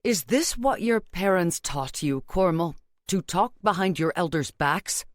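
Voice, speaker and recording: A woman reader plays a stern matriarch scolding her family in a story. The voice is cool, deliberate and quietly threatening, lifted slightly above her narration and recorded very dry. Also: on the low side, dry recording, close to an everyday voice. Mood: cold reproach. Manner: cool, calm, measured, menacing. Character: stern, coolly angry Indian mother-in-law, family matriarch.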